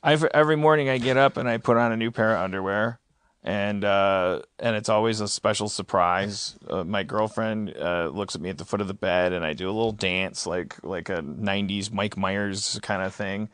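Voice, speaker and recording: A man doing a conversational, comedic sponsor read, improvising it in halting phrases. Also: fairly low pitch, fairly bright tone, smooth, no echo. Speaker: show host in sponsor read.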